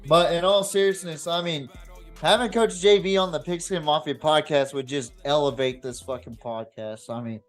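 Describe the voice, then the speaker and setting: A man with a voice a little higher than average hosts a casual sports podcast. He talks bluntly and swears freely, while faint music plays behind him. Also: steady tone, normal speed. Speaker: podcast host.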